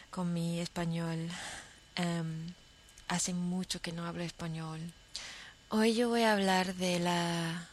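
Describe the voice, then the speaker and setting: Woman, young adult, low voice, halting, many fillers, second-language speaker, podcast monologue. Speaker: podcast host. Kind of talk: casual personal monologue.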